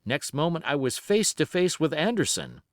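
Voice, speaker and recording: A man narrating an adventure novel as an audiobook, in an expressive, measured, dramatic storytelling style. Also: on the high side, no echo, studio quality.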